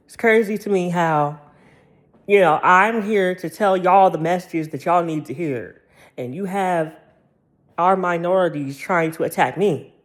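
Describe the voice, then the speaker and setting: Sassy American man on a rant. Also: sits high. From United States. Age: 30s. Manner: sassy, slangy, emphatic, repeating phrases. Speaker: commentator. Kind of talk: casual opinionated monologue.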